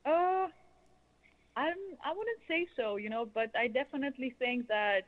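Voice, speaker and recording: A woman in her thirties with a Russian accent, answering interview questions in loose, flowing, expressive phrases peppered with "you know". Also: high-pitched, moderate pace, narrow, tinny audio. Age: 30s. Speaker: guest, sound healer and musician.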